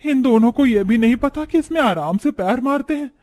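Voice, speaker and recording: A man voicing an older, traditional village mother-in-law who scolds and exclaims plaintively in an animated family story. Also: extremely high pitch, a little fast, voice pushed up. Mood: pained, indignant.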